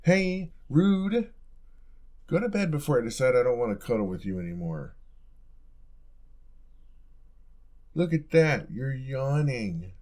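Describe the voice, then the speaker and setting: A young man playing an affectionate, playful roommate in an intimate audio roleplay, talking in a soft, casual, teasing way. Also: mid-range voice, sing-song, moderate pace, natural voice. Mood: mock offended.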